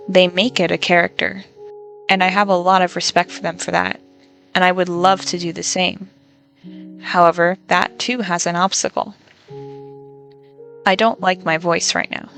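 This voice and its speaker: A young woman and college student, talking through a personal venting monologue in a reflective, halting, measured way. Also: lowish voice, creaky, fairly bright tone. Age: young adult.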